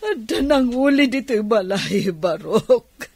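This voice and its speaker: An actress playing a distressed, emotional older aunt in a radio drama, with an Ilocano accent. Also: female voice, fairly high pitch, animated, slow, darkish tone, slightly rough, no room sound, exaggerated voice. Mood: tearful, pained. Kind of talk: Filipino radio drama acting.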